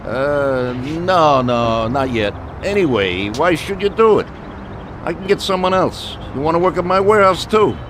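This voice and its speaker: An actor playing a genial, patronizing mafia boss in a video game, expansive and unhurried, over noisy outdoor ambience. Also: male voice, a little high, lively intonation, close to an everyday voice. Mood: pleased.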